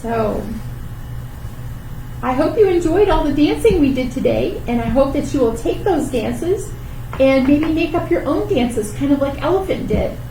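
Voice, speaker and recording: A woman hosting a children's library storytime, reading aloud in an animated style for young children, recorded roomily from a distance. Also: high-pitched, measured pace. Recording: roomy, distant mic, faint background noise.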